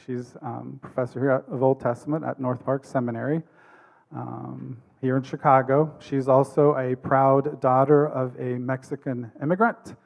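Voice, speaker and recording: A man moderating an academic symposium, introducing the speakers in a relaxed way with frequent pauses. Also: mid-range voice, gravelly.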